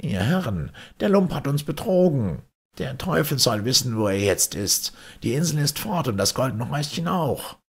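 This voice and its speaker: A man's voice: mid-range voice, sing-song, moderate pace, gravelly, breathy edge, pitched above the performer's own voice, full character voice. A man voicing a scheming, grumbling merchant who haggles and exclaims in a fairy tale. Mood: indignant.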